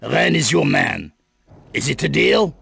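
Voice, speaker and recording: An actor playing a wary, menacing underworld boss in a video game, guarded and dismissive in manner. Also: male voice, fairly high pitch, sing-song, unhurried, gravelly, a bit bright, slightly rough, exaggerated voice. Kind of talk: video game cut-scene acting.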